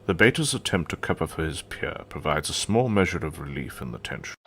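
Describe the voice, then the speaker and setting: An actor narrating in a measured, deadpan nature documentary style. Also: male voice, a bass voice, slightly rough, heavily acted. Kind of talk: scripted narration.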